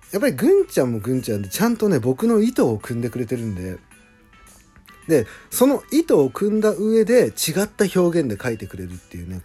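A man speaks alone in a casual podcast style, effusive and emphatic. His pitch swings widely over a mellow, slightly husky tone. He stretches words out for emphasis. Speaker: host, original author of a radio drama. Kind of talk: casual solo podcast talk.

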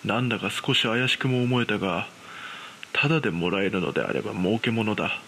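A man playing an ordinary, uneasy young man who recounts his frightening experience in a dramatised, measured, suspenseful horror narration. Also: lowish voice, pushed and bright, a little hiss or hum. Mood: surprised, doubtful. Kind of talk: dramatised ghost story narration.

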